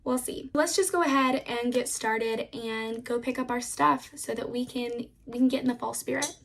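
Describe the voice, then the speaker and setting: Slightly raspy young woman chatting. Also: on the high side, moderate pace, music in the background. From Florida. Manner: relaxed, rambling, uses "like" often. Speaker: vlogger, stay-at-home mom. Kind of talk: casual chatty vlog narration.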